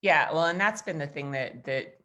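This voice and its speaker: A low-pitched American woman in her forties hosts a casual chat over a video call. She rambles happily through her stories, padding them with fillers and restarts. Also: normal speed, bright, forceful tone. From United States. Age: 40s. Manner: chatty, rambling storyteller with frequent fillers. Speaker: host.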